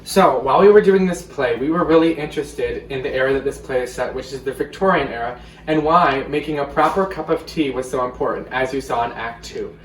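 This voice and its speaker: A man's voice: medium pitch, brisk. A young man presenting scripted educational narration. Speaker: presenter and student actor on a sound team. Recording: roomy echoey recording with music. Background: plucked guitar background music.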